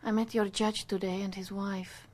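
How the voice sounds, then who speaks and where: An actress playing a policeman's gentle, candid artist wife in a radio drama, intimate and reflective as she recounts things. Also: medium pitch, little pitch movement, moderate pace, natural voice. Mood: intimate, chatty.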